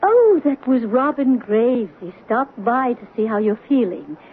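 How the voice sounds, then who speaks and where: A gentle, slightly nervous mother, her voice sitting a little high. It has the thin, phone-like sound of an old radio broadcast with a faint hiss underneath. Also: lively intonation, a little slow. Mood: gentle, anxious. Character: gentle widowed mother, kind and anxious. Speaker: Celia, a mother. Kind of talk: 1970s radio drama acting.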